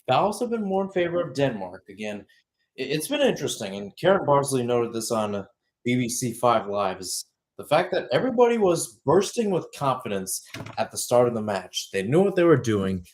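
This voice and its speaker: A man's voice: medium pitch, very animated, normal speed, darkish tone. A young adult man giving radio-style sports play-by-play commentary with emphatic announcer delivery.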